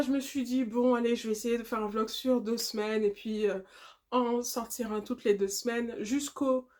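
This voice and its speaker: A woman in her late thirties from Guadeloupe, chatting casually to the camera in a rambling, talkative way, often laughing and filling pauses. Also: on the high side, darkish tone. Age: 30s. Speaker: vlogger.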